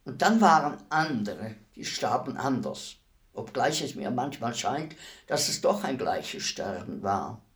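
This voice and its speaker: An actor playing a world-weary, philosophical executioner in a radio play, speaking in a deliberate, brooding, respectful way. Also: male voice, mid-range voice, very animated, fairly bright tone. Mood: brooding, intense. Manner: deliberate, brooding, formally addressing a lady. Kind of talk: radio play acting, slow reflective monologue.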